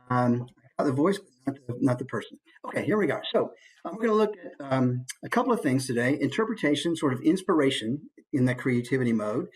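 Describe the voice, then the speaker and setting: A man giving an informal online presentation in an easygoing, conversational way, with hesitant pauses as he goes. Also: medium pitch, breathy edge. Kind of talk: informal online talk with slides. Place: online video call.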